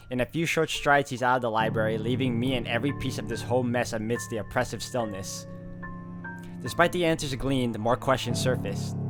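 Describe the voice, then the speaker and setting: A man hosting a let's-play, reading visual novel narration aloud and adding casual commentary. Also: medium pitch. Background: loud music.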